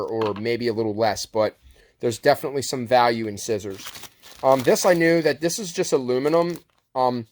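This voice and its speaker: A man's voice: mid-range voice, a little hiss or hum. A man giving a casual, unscripted show-and-tell as a reseller, rambling and correcting himself in laid-back, slangy talk. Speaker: thrift reseller showing a haul.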